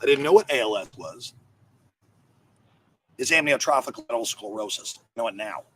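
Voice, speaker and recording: A man giving a personal monologue in a husky voice, blunt and profane, pausing between phrases. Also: mid-range voice, expressive, a bit bright, a little hiss or hum. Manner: blunt, profane, halting with pauses. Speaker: comedian and charity founder.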